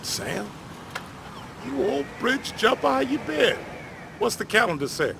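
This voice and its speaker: An actor playing a blunt, folksy, world-weary middle-aged American ex-miner, friendly and wry, in naturalistic video game style. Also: male voice, very high voice, sing-song, measured pace, gravelly, background noise, heavily acted. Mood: warm, teasing.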